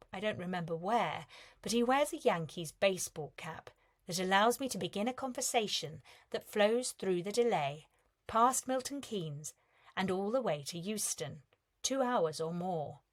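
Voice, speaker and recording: A woman in her thirties reading a reflective piece of prose in a measured, calm way, softening at the ends of lines. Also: mid-range voice. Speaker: reader of a competition entry.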